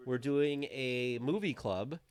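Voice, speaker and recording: A man's voice: mid-range voice, slow pace, smooth. A man in his late thirties co-hosting a live podcast stream, casual and teasing. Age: 30s. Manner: playful, teasing. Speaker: podcast co-host.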